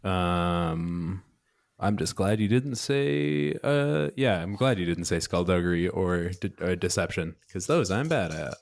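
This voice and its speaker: A man's voice: sits low, lively intonation, measured pace, creaky. A man chatting and improvising casually as a player in a tabletop roleplaying game.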